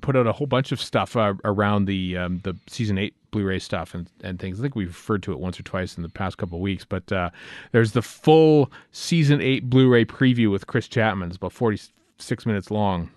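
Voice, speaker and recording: A man's voice: fairly low pitch, fairly quick, a little mellow, dry recording. A man with a North American accent, co-hosting a casual fan podcast in a wry, playful way. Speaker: podcast co-host.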